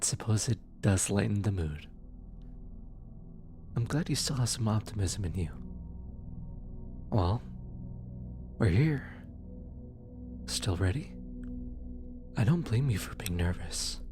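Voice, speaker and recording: Gentle demon guide acted, very slow pace, reassuring, hesitant, ASMR comfort roleplay, faint music behind. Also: mid-range voice. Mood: warm, lightly amused. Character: gentle, soft-spoken demon receptionist of hell, reassuring guide.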